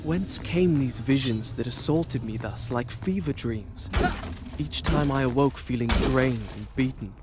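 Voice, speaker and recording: Man playing a haunted, higher-voiced young storyteller prince. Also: measured pace, noisy background, close to an everyday voice. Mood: brooding. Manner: formal, theatrical, brooding. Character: haunted, proud young prince telling his tale. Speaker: protagonist and narrator. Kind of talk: dramatic storytelling narration in a video game. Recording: game music behind the voice.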